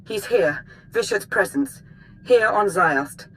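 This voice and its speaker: A woman's voice: medium pitch, expressive, slow, a bit bright, rough recording. An actress playing a cool, commanding female Sith intelligence minister in a video game, clipped and authoritative. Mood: urgent. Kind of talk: video game cutscene acting.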